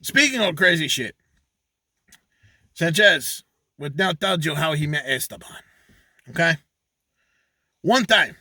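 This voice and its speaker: A man's voice: fairly high pitch, sharp tone, no echo, clean studio sound. A man voicing a crude, boastful male donkey handler, rambling about himself in the third person in a comic monologue. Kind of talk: comedic character monologue.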